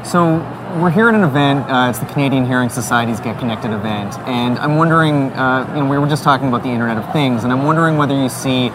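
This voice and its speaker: Male reporter, hesitant questions, mid pitch, outdoor event, traffic noise. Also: fast, hardly any pauses. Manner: hesitant, many fillers. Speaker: interviewer, reporter. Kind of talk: interview questions.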